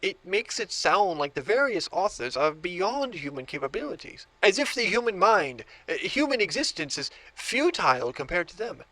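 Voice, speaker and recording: Male actor as obsessive collector, high-pitched man, entranced, horror audio drama, dry sound. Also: very animated, normal speed, hardly any pauses, pushed and bright, no echo, full character voice, low-quality recording. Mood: intrigued, obsessive. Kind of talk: audio drama monologue and dialogue.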